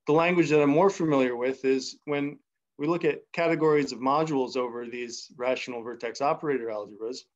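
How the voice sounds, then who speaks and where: Young male lecturer on a video call, mid-pitched, unhurried and thinking aloud, slightly muffled audio. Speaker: seminar speaker. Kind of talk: academic math talk over video call.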